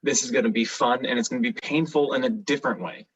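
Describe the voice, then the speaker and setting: A man's voice: medium pitch, fast talker, a bit bright, husky, distant mic. A man co-hosting a comedy podcast in casual banter, dry and sardonic, rambling as he goes. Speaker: podcast co-host. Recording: dull, lo-fi microphone sound.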